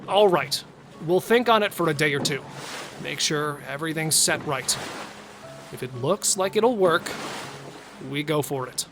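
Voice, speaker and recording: A man playing a wary yet bloodthirsty serial killer in an audio drama, speaking with deliberate intensity. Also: fairly high pitch, expressive, fairly bright tone, slight background noise. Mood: firm, resolute. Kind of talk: audio thriller acting.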